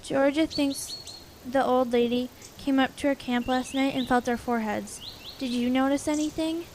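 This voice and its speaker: Female actor as high voiced girl camper, earnest and uneasy, audio drama, slight noise behind. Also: fairly even intonation, normal speed, a little mellow, natural voice. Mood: earnest, curious. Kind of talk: amateur audio drama acting.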